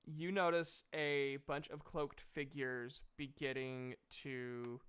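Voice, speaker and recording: A man works as a tabletop game master. His voice is forceful and clear, and he narrates slowly, halting now and then as he addresses players. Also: mid-range voice, level tone.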